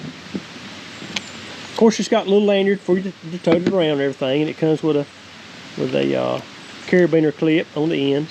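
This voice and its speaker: A man's voice: fairly high pitch, creaky, darkish tone, rough recording. A middle-aged man with a Southern American accent giving a casual, folksy, plain-spoken product review. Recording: faint hiss and outdoor noise.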